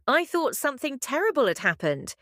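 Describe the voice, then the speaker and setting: A woman's voice: high voice, very animated, brisk, fairly bright tone, no echo, studio quality. A woman playing an anxious but teasing survivor, partner to the hero of an audio drama, speaking in short, questioning lines. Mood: alarmed.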